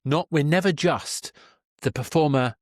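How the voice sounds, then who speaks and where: A man's voice: a little high, unhurried, no room sound, clean studio sound. A man in his fifties hosting a conversational podcast, earnest and discursive as he reflects. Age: 50s. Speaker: podcast host. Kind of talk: conversational interview, reflective summing up.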